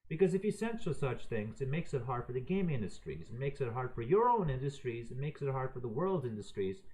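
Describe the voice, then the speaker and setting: Heated man ranting with a lively pitch. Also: a little fast, rough recording. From raised in Croatia. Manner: profane, heated, exasperated. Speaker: video ranter. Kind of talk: unscripted opinion rant.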